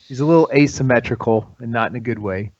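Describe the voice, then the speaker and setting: A man's voice: medium pitch, fast, slight background noise. Male panelist, average register, informal conversation, a bit muffled on the call. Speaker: podcast panelist. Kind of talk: casual chat. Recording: slightly dull voice-call audio.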